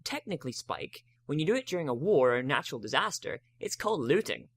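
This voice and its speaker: A man's voice: a little high, very animated, fast, a bit bright, full character voice. A man voicing a young female unicorn who is smug, flippant and defensive.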